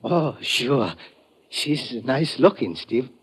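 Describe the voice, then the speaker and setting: A husky, lively island friend. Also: male voice, medium pitch, slow pace, heavily acted. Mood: cautious. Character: easygoing, happy Trinidad bar friend, warning and anxious. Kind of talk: 1950s radio acting.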